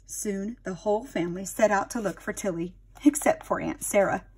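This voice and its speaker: A woman's voice: mid-range voice, moderate pace, a little mellow, husky. A woman narrating a children's storybook expressively, dramatic and addressing young listeners like a teacher.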